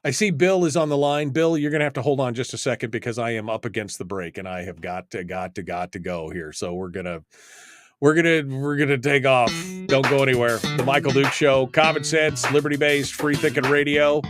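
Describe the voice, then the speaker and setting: A man's voice: mid-range voice, brisk, a bit bright, no room sound, soft background music. An American man hosting an opinionated call-in radio show, speaking bluntly and emphatically and repeating points for stress. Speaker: radio talk show host.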